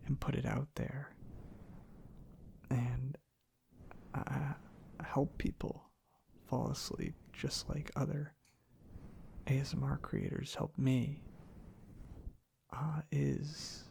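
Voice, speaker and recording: A man speaking in a soft, hushed, half-whispered ASMR ramble, breathy and gravelly, in unhurried halting phrases. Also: medium pitch. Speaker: ASMR content creator. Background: tapping and clicking sounds.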